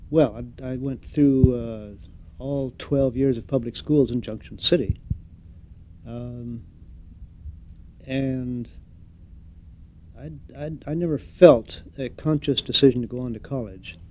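An older man giving an oral history interview, reflective and hesitant, pausing often with fillers. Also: mid-range voice, fairly even intonation, slight background noise. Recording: tinny phone-like recording.

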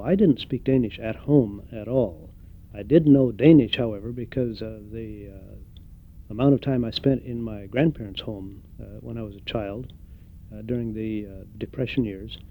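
An older man giving an oral history interview, reflective and hesitant, pausing often with fillers. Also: lowish voice. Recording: tinny phone-like recording.

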